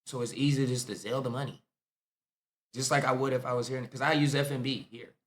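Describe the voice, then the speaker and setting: A young American man reacts to clips in easygoing, slang-heavy banter. His accent is plainly American and his voice sits at an ordinary pitch. He talks like he's hanging out with friends. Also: fairly even intonation, fast talker. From United States. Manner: laid-back slangy banter, laughs loudly. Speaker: reaction video host. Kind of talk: casual reaction commentary.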